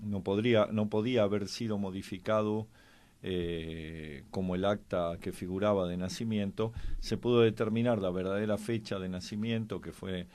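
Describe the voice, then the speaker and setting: Low-pitched Argentine man explaining history. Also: fairly even intonation, moderate pace, darkish tone. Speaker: history commentator. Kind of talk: conversational historical analysis.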